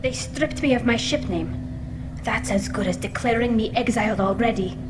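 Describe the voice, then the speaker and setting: A woman's voice: on the high side, moderate pace, background noise, lightly acted. A woman playing an earnest young female alien starship crew member in a video game. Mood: upset. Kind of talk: scripted game dialogue.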